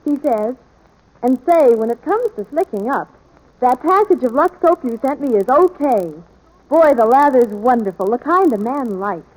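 A woman's voice: sits high, animated, moderate pace, a little mellow, poor audio. A woman co-hosting a scripted commercial dialogue in 1940s radio style. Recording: old 1940s radio broadcast.

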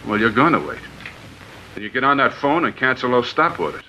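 A man's voice: mid-range voice, sing-song, fairly quick, bright, forceful tone, a little hiss or hum. An actor playing a ruthless, scheming male cult leader in a 1950s radio drama, grandiose when he preaches and threatening when crossed. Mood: menacing. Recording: dull lo-fi 1950s radio broadcast.

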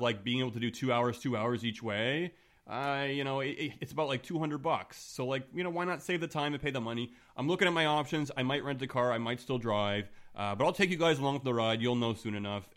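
Man, deep voice, from Canada, thirties, podcast co-host, casual rambling chat, mid pitch. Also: fast talker. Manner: relaxed and chatty, trailing off quietly at phrase ends.

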